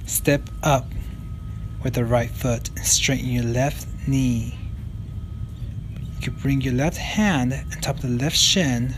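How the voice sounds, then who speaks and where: A man in his thirties guiding a yoga stretching session with slow, calm step-by-step cues. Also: mid-range voice, background noise. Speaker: yoga instructor. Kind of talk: guided yoga instruction.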